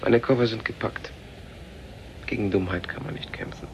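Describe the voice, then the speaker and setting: An actor playing a stubborn, alarmed physicist in a 1970 German radio play, insistent and argumentative. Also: male voice, lowish voice, normal speed, lo-fi sound, background noise, lightly acted. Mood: bitter, curt. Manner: insistent, argumentative, rhetorical questions. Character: stubborn, alarmed physicist warning against an alien machine.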